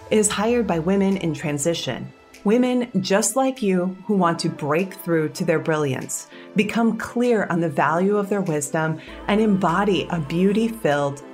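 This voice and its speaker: Woman, scripted ad narration, smooth persuasive read, faint background music. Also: on the low side.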